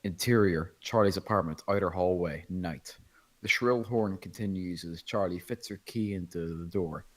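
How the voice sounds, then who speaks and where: A man's voice: low-pitched, normal speed. A man reading stage directions as narrator at a screenplay table read.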